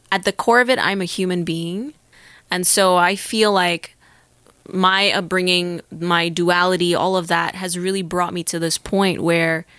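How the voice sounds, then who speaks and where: A young woman with a Northern Californian accent, talking candidly as an interview guest. Her voice is bright and forward. She thinks aloud in an earnest, reflective way, circling back and restarting her sentences. The recording is close and dry. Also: fairly low pitch, no echo. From Northern California, United States. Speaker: interview guest, actor and musician.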